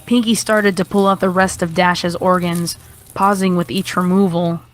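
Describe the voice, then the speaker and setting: Casting: female story narrator, fairly low voice, scripted horror reading. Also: steady tone, normal speed, music in the background.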